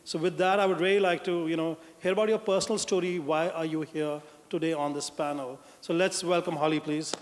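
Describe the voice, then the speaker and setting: A man's voice: on the high side, fairly even intonation, brisk, few pauses, a little hiss or hum. A man from India moderating a panel, introducing speakers with effusive, long-winded praise. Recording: echoey hall.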